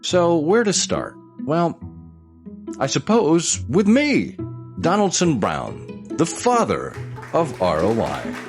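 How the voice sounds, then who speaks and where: An actor playing a pompous, excitable ghost of an old-time explosives salesman, narrating an audio musical in grandiose, old-fashioned language. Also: on the high side, slow pace, faint music behind, heavily acted. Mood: grand, jovial.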